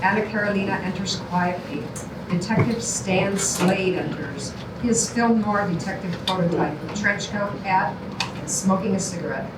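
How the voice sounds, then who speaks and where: Echoey distant recording, woman narrator, mid-pitched, reading stage directions. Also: moderate pace, very noisy.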